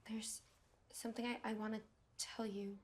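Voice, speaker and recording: A young woman playing a nervous partner who confesses a secret, stammering in hushed tones. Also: a little high, flat delivery, normal speed, close to an everyday voice. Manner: hesitant, stammering whispers turning to defiant shouts. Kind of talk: scripted short-film drama.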